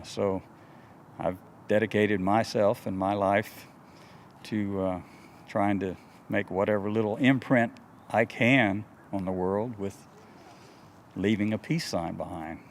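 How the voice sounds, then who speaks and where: Older guy in his 60s, folksy n sincere, telling stories outside, bit of background noise. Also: on the low side. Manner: earnest, folksy. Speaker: interviewee, airport worker and pilot. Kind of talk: casual storytelling interview. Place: outdoors in a grassy wooded clearing. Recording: noisy outdoor field recording, faint vehicle hum.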